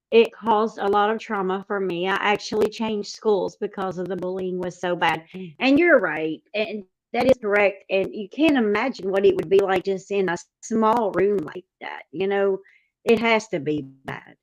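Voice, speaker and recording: A woman hosts an informal discussion in a medium-pitched voice. She alternates between reading comments aloud and talking them over in a casual, conversational way. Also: unhurried, poor audio. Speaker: panel host reading comments.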